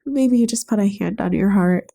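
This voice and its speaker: Young woman, podcast host with a stuffed-up head cold, mellow voice, earnest and gentle. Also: mid-range voice, normal speed, creaky. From United States. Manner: earnest, gentle, thinking aloud. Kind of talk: reflective solo podcast talk.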